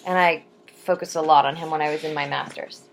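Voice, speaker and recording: A young adult woman chatting to camera in a casual vlog, rambling with frequent ums and asides, and reading poetry slowly and deliberately. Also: low voice, fairly even intonation, gravelly, slight background noise, low-quality recording. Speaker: vlogger answering viewer questions.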